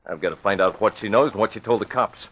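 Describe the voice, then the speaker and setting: A man's voice: lowish voice, quick pace, breathy edge. A man playing a resolute masked vigilante who gives terse, commanding orders in a classic radio drama. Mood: threatening. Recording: dull vintage radio recording, faint noise.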